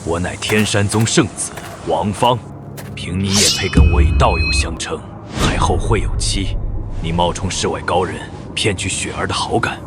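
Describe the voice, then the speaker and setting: An actor playing an arrogant, ruthless young villain in an animated drama, haughty and menacing. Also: male voice, low-pitched, normal speed, lightly acted. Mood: contemptuous. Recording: loud music behind the voice.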